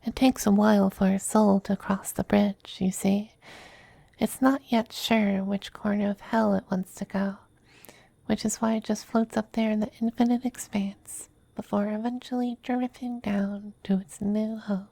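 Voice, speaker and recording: Girl doing a soft demon femboy for asmr, breathy n whispery, mellow, real slow, sweet but possessive. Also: mid-range voice, fairly even intonation, no echo. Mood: tender, calm. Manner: slow, hesitant, gently coaxing. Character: tender, possessive male demon femboy with wings and tail. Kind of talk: intimate ASMR roleplay, often whispered.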